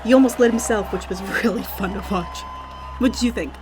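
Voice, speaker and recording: A woman playing a chatty, excitable and bloodthirsty fantasy arena announcer in an audio drama. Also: fairly high pitch, brisk, noisy background, lightly acted. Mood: gleeful. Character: gleeful, bloodthirsty female fantasy arena announcer, easily worried. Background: music.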